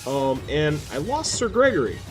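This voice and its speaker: A man's voice: fairly high pitch, sing-song, normal speed. A man hosting a let's play, thinking aloud in a casual, self-deprecating way. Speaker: gaming video host. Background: game music and effects.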